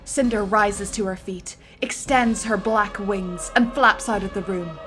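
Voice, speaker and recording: A woman's voice: mid-range voice, unhurried, fairly bright tone, faint music behind. A woman narrating a film script with a theatrical, emphatic delivery.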